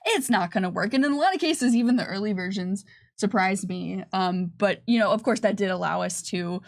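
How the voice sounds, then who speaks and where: A woman's voice: medium pitch, a little fast, vocal fry. Female game developer for livestream Q&A; mid-range voice, conversational and explanatory, thinking aloud. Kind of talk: unscripted livestream Q&A.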